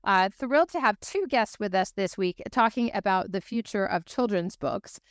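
Female interview host, American, upbeat and conversational, crisp clean voice in a dry studio sound. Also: mid-range voice, normal speed, dry recording. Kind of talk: hosting an interview show.

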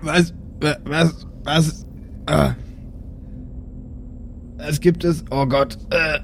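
A man playing a bumbling spaceship captain, talking casually and haltingly, repeating himself. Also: a little high, fairly even intonation, creaky, a little hiss or hum, exaggerated voice. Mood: groggy, bewildered. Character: sleepy, bumbling male spaceship captain and doctor. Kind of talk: improvised audio drama.

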